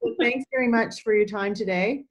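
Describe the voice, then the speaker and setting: A woman host, moderating a video call politely, with many ums and halting restarts. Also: a little high, very noisy. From New Brunswick, Canada. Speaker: chamber of commerce host.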